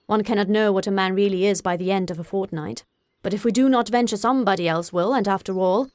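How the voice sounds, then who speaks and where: A mischievous, unruffled middle-aged father from a period novel, read by a woman. The delivery is wry and deliberate, with mock-solemn emphasis, recorded dry and close. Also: medium pitch, darkish tone, no room sound, lightly acted. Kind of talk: audiobook character acting.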